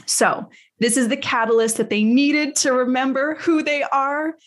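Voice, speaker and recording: A woman's voice: high voice, steady tone, a little slow. A woman podcast host recapping an episode in casual chat, with a playful, slangy way of telling a story.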